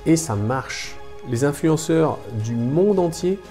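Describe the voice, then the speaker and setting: A man in his thirties parodies a product launch. He sounds smooth and corporate, then drops to snide muttered asides. His voice is dark and mellow, with soft music underneath. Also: fairly high pitch, expressive, takes it slowly. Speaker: channel host. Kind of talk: satirical mock product keynote. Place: living room, on a sofa.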